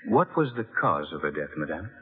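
A man's voice: extremely low pitch, big pitch swings, brisk, husky, long reverb tail, narrow, tinny audio, heavily acted. A man playing a suave, bold young adventurer and charmer, in theatrical old-time radio drama style. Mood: solemn. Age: young adult. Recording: thin vintage radio recording, faint music.

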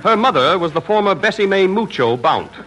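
A man's voice: sits high, sing-song, a little fast. A man announcing a 1940s radio comedy sketch with mock-grand, playful introductions. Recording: noisy 1940s radio recording.

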